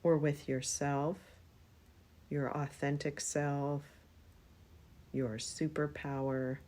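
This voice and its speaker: A woman leads a yoga nidra practice in a low, mellow voice. She speaks very slowly and soothingly. Long pauses separate her short phrases, as in a guided meditation. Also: little pitch movement.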